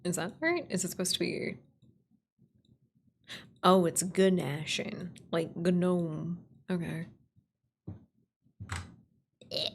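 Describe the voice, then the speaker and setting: A woman talks casually to herself on a work-along stream, repeating words and trailing off as she drafts. Her voice sits a little low with plenty of fry, and the pace is very slow. Typing and a faint background noise come through. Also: fairly even intonation. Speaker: streamer, writer and voice actor. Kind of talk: casual work-along stream, thinking aloud while writing. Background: computer keyboard typing and clicks.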